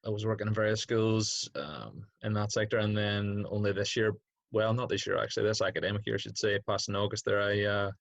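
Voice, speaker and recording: A man's voice: fairly low pitch, fairly even intonation, a little fast, creaky, no echo. A young man with a Northern Irish accent giving casual, reflective interview answers as a teacher, feeling his way hesitantly through each thought. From Derry, Northern Ireland. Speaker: secondary school PE teacher, interview guest.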